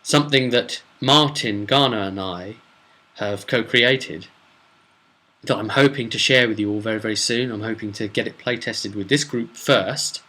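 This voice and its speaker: A man's voice: on the low side, normal speed, sharp tone. A man hosting as a game master, casually recapping a tabletop session in a discursive way with loosely strung asides. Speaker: game master and video host.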